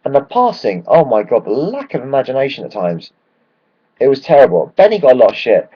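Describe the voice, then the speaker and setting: A man, hoarse from shouting at a match, ranting excitedly and swearing freely in a casual football vlog. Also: mid-range voice, fairly quick, muffled recording. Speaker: football fan vlogger.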